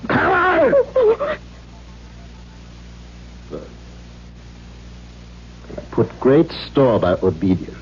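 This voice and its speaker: An actor playing a cruel, domineering middle-aged father in a 1970s radio drama, mock-gentle and formal as he menaces his daughter. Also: medium pitch. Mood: sardonic, commanding. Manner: mock-gentle, formal phrasing, erupting into demands. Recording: dull, noisy 1970s radio recording.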